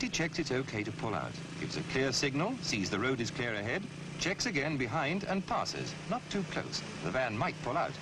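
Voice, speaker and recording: Animated British male narrator, vintage safety film. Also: mid-range voice. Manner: instructive, measured, clearly phrased. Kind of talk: 1960s public information film narration. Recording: noisy 1960s film soundtrack.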